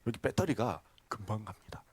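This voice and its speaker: Male pastor, sermon delivery, animated pitch swings, dry close sound, chatty questions to the pews. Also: a little fast, breathy edge, dry recording. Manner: storytelling with questions to the congregation, laughing asides. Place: church sanctuary.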